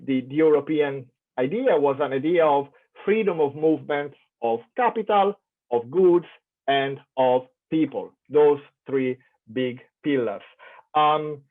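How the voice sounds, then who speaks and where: Italian man lecturing over tinny audio. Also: a little high. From Italy. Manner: thoughtful, many fillers and restarts. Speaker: keynote speaker. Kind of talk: academic lecture. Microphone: online conference call.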